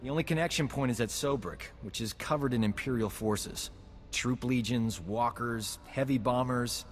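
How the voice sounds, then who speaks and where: A wry, flippant field doctor for a rebel army , played by a male actor in a video game. His voice sits at a middle pitch, in a long hall reverb with music behind. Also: moderate pace, lightly acted. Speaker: Resistance field doctor character. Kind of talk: video game cutscene acting.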